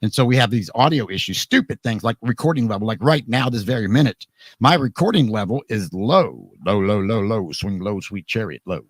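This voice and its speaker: A man hosting a live radio talk program, his voice worn hoarse, chatting casually and jokingly with many restarts. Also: on the low side, expressive, fairly quick, no echo.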